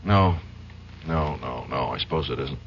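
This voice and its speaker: An actor playing a troubled, agitated defence lawyer with a halting, strained delivery in a 1970s radio drama. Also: male voice, rumbling low. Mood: bitter, restless. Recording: dull, hissy old radio broadcast.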